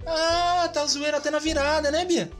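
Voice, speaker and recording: A woman's voice: high-pitched, steady tone, normal speed, faint music behind, close to an everyday voice. A woman voicing a goofy, cheeky, dim young boy in a Brazilian children's cartoon, whiny and clownish. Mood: playful. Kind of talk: children's cartoon comedy dialogue.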